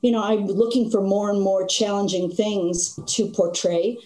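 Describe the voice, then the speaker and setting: Older actress, mellow voice, echoey room. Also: female voice, medium pitch, moderate pace. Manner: animated storytelling with frequent laughs. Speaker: stage actress, guest. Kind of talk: conversational interview.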